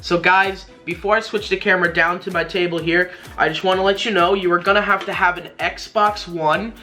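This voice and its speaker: A young man talks through a casual tutorial in a bright, forward voice that sits a little high. He rambles, doubling back to rephrase his points. Light music plays behind him. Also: fairly even intonation, brisk. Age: young adult. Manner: rambling, repeats and rephrases himself. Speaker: YouTuber, tutorial host. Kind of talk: casual tutorial talk to camera. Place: small bedroom gaming setup, indoors. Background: background music.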